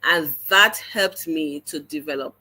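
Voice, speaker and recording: A woman's voice: sits low, crowd noise. A woman with a Jamaican accent, a teacher telling her story as an interview guest, earnest and full of restarts. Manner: earnest, halting restarts, slips into patois.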